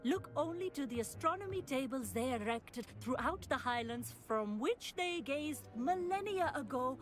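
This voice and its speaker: A stern astronomy professor in a video game. Her high voice swings widely as she admonishes in formal, exacting phrases, over prominent music. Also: expressive, moderate pace. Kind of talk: video game cutscene acting.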